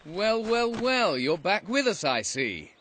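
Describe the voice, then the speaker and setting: An actor playing a kindly guard of a fantasy kingdom in an animated game, genial and chatty. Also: male voice, sits high, slow pace, a little hiss or hum, full character voice. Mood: genial, relieved.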